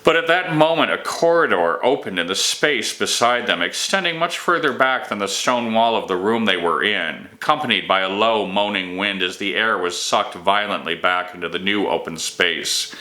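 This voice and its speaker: A man reading his own fantasy novel aloud in an expressive storytelling style. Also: on the low side, moderate pace, hardly any pauses. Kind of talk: expressive audiobook-style narration of fantasy fiction.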